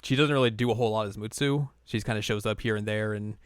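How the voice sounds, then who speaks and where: A man with a fairly low voice co-hosts a casual podcast, rambling through his thoughts with plenty of fillers and laughs. The sound is dry and close. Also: fairly even intonation, fast, clear, resonant tone, dry recording. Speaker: podcast co-host. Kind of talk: casual chat.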